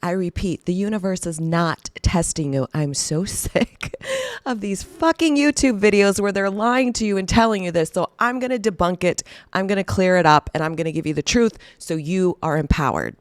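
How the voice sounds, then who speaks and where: A middle-aged woman life coach with a hoarse voice from a cold, giving a blunt, emphatic casual talk to camera that is peppered with swearing. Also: on the low side, moderate pace, dry recording.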